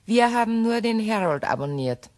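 An actress playing an anxious but composed, recently widowed English shop owner in a German crime radio play, polite and measured. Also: female voice, on the high side, lively intonation, darkish tone, close to an everyday voice.

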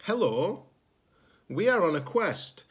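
A man's voice: sits high, sing-song, slow pace, a little hiss or hum, pitched above the performer's own voice, full character voice. A man voicing a small young robot on a quest, earnest and eager, in a storybook read-aloud. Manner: earnest, exclaiming.

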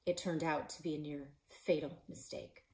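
A woman in her forties, a librarian and author, reading her children's novel aloud in a living room, voicing the characters with shouts and whispers. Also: sits low, steady tone, moderate pace. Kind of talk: children's book read-aloud. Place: living room in front of a stone fireplace.

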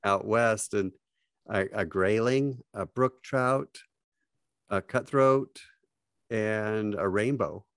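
A middle-aged man telling fishing stories in a slow, reflective way with frequent pauses, speaking from a home office over a video call with earbuds. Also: fairly low pitch, no echo, studio quality. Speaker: podcast guest, fly fishing writer. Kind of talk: casual storytelling. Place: home office with bookshelves, indoors. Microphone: wired earbuds, video call.

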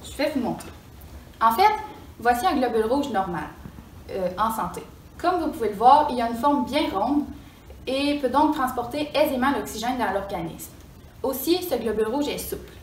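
A woman's voice: on the high side, lively intonation, normal speed, a little hiss or hum, lightly acted. A young woman playing a doctor in a school science skit, explaining with hesitant fillers and self-corrections. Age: young adult. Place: mock exam room. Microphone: far camera. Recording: echoey room from far off.

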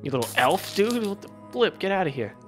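A cocky, excitable schoolboy played by a man, bright and forceful, with a pitch that leaps around. He is bossy and dramatic, stammering his way through cartoon comedy. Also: brisk, faint music behind. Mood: startled, annoyed.

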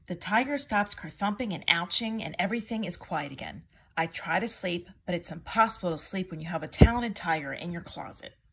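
A woman reading a children's picture book aloud in an expressive teacher's manner, drawing out the sound words. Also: fairly low pitch, a little fast, few pauses, slightly rough, poor audio. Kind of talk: animated children's storybook reading.

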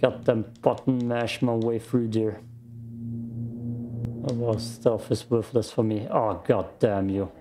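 A man's voice: fairly low pitch, steady tone, takes it slowly, music in the background. A man with an Austrian accent, a native German speaker, giving casual muttering commentary as he plays a game. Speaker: gaming streamer. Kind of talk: casual let's play commentary.

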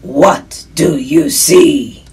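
Big male storybook wolf, played by a woman: very husky, unhurried, helpful and reassuring. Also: low voice, voice pushed down, exaggerated voice. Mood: calm, guiding. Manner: quiet, slow, reassuring.

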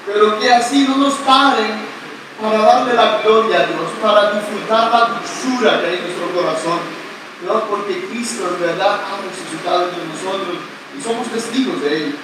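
A priest with a fairly high voice delivers a playful, joking sermon to a big congregation. He sounds distant and heavily echoey, picked up by a camera far back under an open-air covered pavilion, with clear background noise throughout. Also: moderate pace. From not Puerto Rican. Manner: playful, joking with the congregation, leads call-and-response. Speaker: priest preaching. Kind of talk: sermon at a retreat Mass.

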